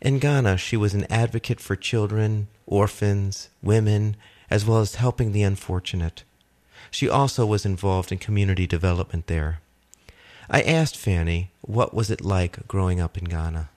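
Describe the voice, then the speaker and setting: Deep-voiced male community radio host. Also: moderate pace, darkish tone. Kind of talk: scripted radio introduction and interviewing.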